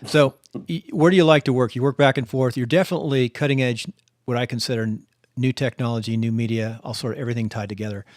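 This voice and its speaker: A man's voice: mid-range voice, steady tone, fast talker, no room sound, clean studio sound. A man hosting an interview, asking questions in a chatty way and often breaking in. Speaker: podcast host.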